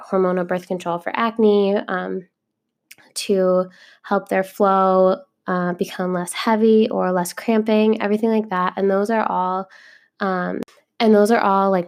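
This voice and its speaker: Young woman, podcast host, flat even delivery, sniffly cold, chatty with fillers. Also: mid-range voice, creaky. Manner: chatty, full of likes and ums. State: stuffy with a cold. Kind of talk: casual monologue.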